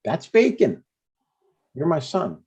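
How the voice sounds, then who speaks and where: A man's voice: fairly high pitch, huge pitch swings, slow pace, a little mellow, breathy edge, full character voice. An older man playing a blunt, stubborn but affectionate father in a comedy table read, over dull stream audio. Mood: mild, curious. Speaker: amateur actor.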